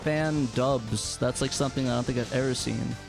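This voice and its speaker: A man's voice: medium pitch, steady tone, normal speed, vocal fry. A man hosting a casual chat, enthusiastic and often stumbling over his phrases. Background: game music and sound effects.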